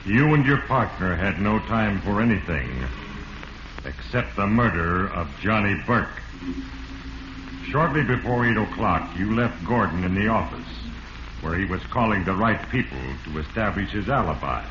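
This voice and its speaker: Actor as deep, echoing, sinister Fate. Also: male voice, animated, normal speed, lo-fi sound, close to an everyday voice. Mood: grave accusing. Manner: grave, portentous, addresses the culprit directly. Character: stern, sinister voice of Fate, all-knowing accuser. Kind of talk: 1940s radio narration. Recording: echoey, noisy old radio recording.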